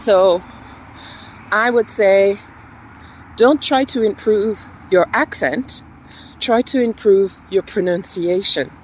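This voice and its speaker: Woman, English teacher, mixed French-British-American accent, slow, encouraging, faint street noise. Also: medium pitch, a little mellow, rough recording. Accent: mixed, French with British and American traces. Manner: slow, unhurried, encouraging, with many fillers. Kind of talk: casual spoken lesson to camera.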